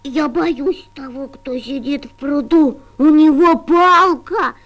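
A woman voicing a timid, innocent little boy raccoon in a cartoon, with a squeaky childlike voice and naive, exclamatory delivery. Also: slow pace, fairly bright tone, heavily acted. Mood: scared, pleading. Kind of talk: Soviet animated cartoon acting.

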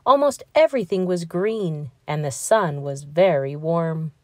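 A woman narrates a picture book for children. Her pitch moves around in an animated way, and her delivery is expressive and deliberate. The sound is dry and close. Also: no echo. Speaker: storybook reader. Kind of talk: children's read-aloud narration.

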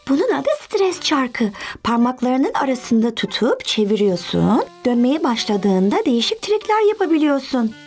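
A woman's voice: high-pitched, big pitch swings, a little mellow, faint music behind. A woman voicing a friendly young girl who explains things helpfully in a children's cartoon. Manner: unhurried, instructive.

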